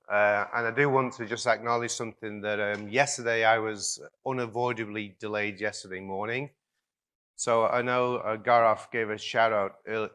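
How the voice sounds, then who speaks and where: A man with an Irish-tinged Liverpool accent giving a conference keynote in a relaxed, joking way, with lots of ums and restarts. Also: lowish voice. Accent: Irish-tinged Liverpool English. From England, raised near Liverpool in an Irish family. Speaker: keynote speaker. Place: conference stage.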